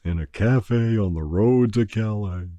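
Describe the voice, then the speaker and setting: An older man rambles through a relaxed podcast chat in a very low, mellow voice. He takes his time and fills the gaps with "uh". The sound is dry and close, with no room around it. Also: dry recording, dull audio. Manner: unhurried, many "uh" fillers, breaks into crooning an old song. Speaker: podcast host.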